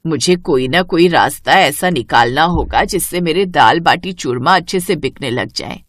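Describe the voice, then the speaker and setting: A woman's voice: low-pitched, moderate pace, dry recording, studio quality, voice pushed down. A woman voicing an elderly mother-in-law who is caring, anxious and stubborn, in a storybook tale. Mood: worried. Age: older.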